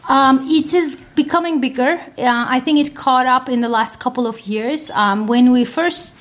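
A woman from Turkey, a science podcaster answering interview questions with many fillers. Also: high voice. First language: Turkish. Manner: many fillers, talks in quick bursts.

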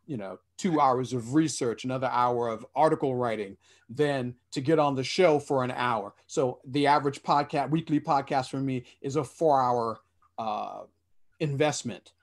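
A man's voice: mid-range voice, normal speed. A man in his forties on a headset at home, speaking earnestly on a video-call panel and often restarting his sentences. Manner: earnest, explanatory, with frequent restarts. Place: small room indoors.

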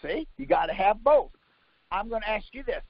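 Insistent high-pitched man on a muffled line. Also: expressive, moderate pace, no room sound. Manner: insistent, quizzing, contradicting. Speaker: conference call leader teaching legal theory. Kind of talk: informal instruction by questions. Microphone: conference call line. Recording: muffled lo-fi conference call audio.

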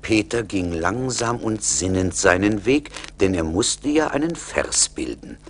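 A man's voice: fairly low pitch, sing-song, unhurried. A man narrating a scripted fairy tale as the storyteller of a radio play. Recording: vintage LP radio play, faint noise.

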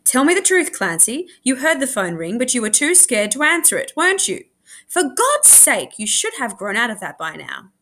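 Woman reading strict sharp mum in a kids book, crisp and telling off, lively pitch. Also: normal speed, full character voice. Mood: angry, accusing. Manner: crisp, scolding. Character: stern, sharp mother, a lawyer.

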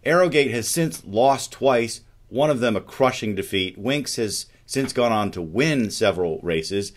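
A man's voice: medium pitch, lively intonation, a little slow. A middle-aged man hosting a casual discussion show, posing questions to his co-host over a video call. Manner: conversational, poses questions to co-host. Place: studio with dark backdrop.

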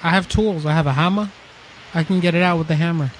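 Man putting on a high-pitched, rude prank caller. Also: fast talker, natural voice, rough recording. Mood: pushy, insulting. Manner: blunt, insulting, repetitive, childish. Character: rude, dim, stubbornly pestering male prank caller. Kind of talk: prank phone call. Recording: phone prank call, light background noise.

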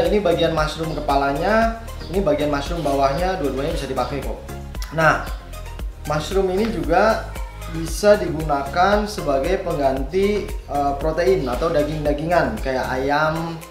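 A man in his thirties, a chef, cooking at home and explaining each step, asking questions and answering them himself. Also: a little high. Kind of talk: casual cooking demonstration. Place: bright home kitchen, indoors. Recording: roomy kitchen with music behind. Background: background music.

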